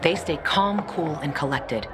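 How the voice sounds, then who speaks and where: A woman's voice: deep voice, animated, a little slow. An actress playing a tough, teasing young female fighter in a video game cutscene. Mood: brisk, wry. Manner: blunt, teasing. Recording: music and crowd behind.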